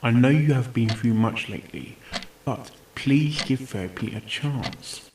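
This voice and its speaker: A man playing a patient, probing pony therapist in an audio drama, speaking in a calm, measured and firm way. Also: medium pitch, background noise, close to an everyday voice. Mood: firm, coaxing. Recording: roomy voice over background music.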